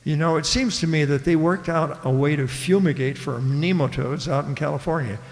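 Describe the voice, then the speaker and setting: An actor playing a polite, wordy, slightly flustered news commentator exploring space in a comic radio play. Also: male voice, on the high side, moderate pace, close to an everyday voice. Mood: pondering. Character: courteous, grandiloquent news commentator exploring another planet. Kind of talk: comic radio drama acting. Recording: echoey hall with long reverb.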